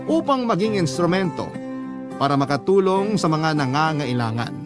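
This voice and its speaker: A man's voice: on the high side, very animated, moderate pace. A man playing a humble, grateful storyteller, narrating his life in a sincere, reflective way for a radio drama. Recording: loud music under the voice.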